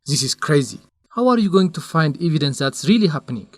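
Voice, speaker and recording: A man's voice: fairly high pitch, very animated, brisk, dry recording. A man acting as a cautious, lovelorn young friend in a radio drama, speaking earnestly. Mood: surprised, concerned. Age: young adult. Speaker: actor in an educational radio drama.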